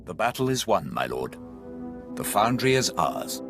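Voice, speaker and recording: An actor playing a loyal Imperial aide in a video game, formal and measured. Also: male voice, lowish voice, very animated, over music. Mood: triumphant. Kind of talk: dramatic video game acting.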